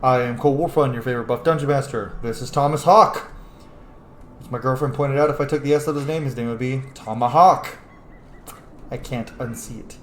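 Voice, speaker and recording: A man's voice: medium pitch, a little fast, roomy sound. A man giving casual, jokey, sweary let's-play commentary on a role-playing game, exclaiming often. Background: faint game music.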